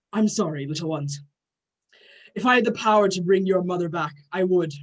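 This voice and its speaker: A woman's voice: on the low side, a little slow. A woman playing a courteous but short-tempered male god with formal, grandiose speech in a play reading. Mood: reassuring.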